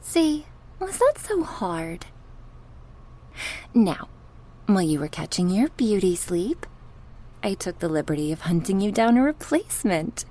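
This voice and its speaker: Sadistic goddess captor, played by a woman, horror ASMR: husky, playfully menacing, sarcastic, with wide swooping intonation. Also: medium pitch, sing-song, mellow. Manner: taunting, sarcastic, slow and drawn out with sighs.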